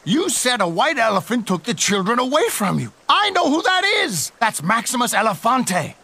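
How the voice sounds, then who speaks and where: A man's voice: extremely high pitch, sing-song, normal speed, bright, forceful tone, breathy edge, full character voice. A man voicing an old retired male elephant uncle, fretful, tired and loyal. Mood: worried. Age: older.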